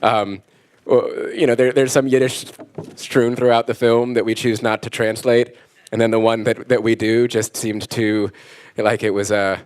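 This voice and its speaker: A man's voice: mid-range voice, flat delivery, normal speed, a little mellow, clean tone, dry recording. A man, a film director, answering an audience question reflectively, with amusement. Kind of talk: post-screening Q&A. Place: screening auditorium with audience.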